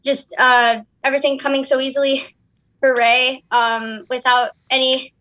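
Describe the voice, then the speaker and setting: A young woman chatting casually on a podcast, rambling through a point with lots of fillers and pauses. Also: high-pitched, level tone, pushed and bright, no echo. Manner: rambling, hesitant, many fillers. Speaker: guest co-host, daughter of the guest. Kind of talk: casual chat. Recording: dull, dry lo-fi sound.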